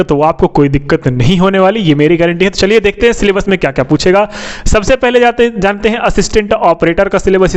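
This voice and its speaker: A man teaching in an informal lecture style, repeating key points, asking questions and answering them himself, often adding tag questions. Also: sits high. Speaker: exam coaching teacher. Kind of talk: informal explanatory lecture on an exam syllabus.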